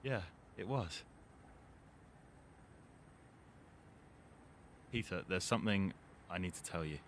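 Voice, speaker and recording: A man playing an earnest, responsible teenage boy in an audio drama, speaking in a measured way. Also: sits low, fairly bright tone, a little hiss or hum. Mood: hesitant, sombre. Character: Jack, serious, responsible teenage boy growing distant from his friend.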